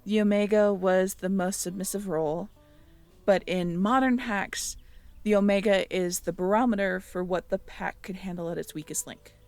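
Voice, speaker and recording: A young woman in an intimate audio roleplay, talking softly to the listener, hesitant and stammering. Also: mid-range voice, fairly even intonation, moderate pace, faint music behind. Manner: hesitant, stammering, soft-spoken. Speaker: audio drama performer speaking to the listener.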